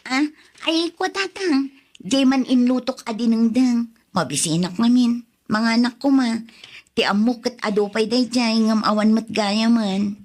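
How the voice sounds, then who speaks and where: Young daughter-in-law, radio drama, highish voice, meek, hesitant, plaintive. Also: female voice, measured pace, darkish tone. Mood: hungry, hesitant. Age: young adult. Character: put-upon young pregnant daughter-in-law, meek wife.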